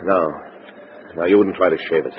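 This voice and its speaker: Man acting a very deep, surly crook. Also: steady tone, moderate pace, natural voice. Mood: grudging. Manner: gruff, slangy, grudging. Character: surly, suspicious American male secrets seller. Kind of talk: 1950s radio crime drama acting. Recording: muffled noisy old radio recording.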